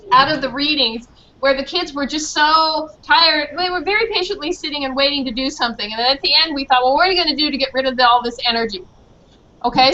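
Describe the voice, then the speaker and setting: A woman with a very high, bright voice leads children in a playful activity, encouraging them along. She takes her time, and the sound is a dull video call with some noise. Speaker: children's author leading a group activity. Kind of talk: leading a playful children's movement song.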